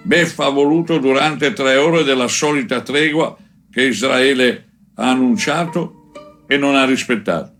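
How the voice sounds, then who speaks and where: A man reading a first-person chronicle aloud in a measured, deliberate way, with frequent pauses between phrases. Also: mid-range voice, fairly bright tone, soft background music. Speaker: reader of a book chapter. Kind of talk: narrated audiobook-style reading of a first-person war chronicle.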